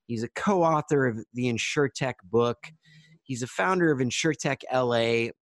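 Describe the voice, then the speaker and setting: Chatty middle-aged podcast host. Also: male voice, mid-range voice, normal speed, dry recording. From Southern California. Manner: chatty, repeats words as he searches for phrasing. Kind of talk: podcast hosting.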